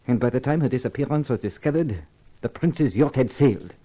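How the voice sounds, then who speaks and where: An actor playing an anxious, courteous Frenchman, speaking gravely and hesitantly in a 1950s radio drama. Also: mid-range voice, expressive, brisk, mellow, close to an everyday voice, rough recording. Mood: grave, pleading. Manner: grave, hesitant, polite, earnest. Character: anxious, courteous Frenchman seeking his lost sister.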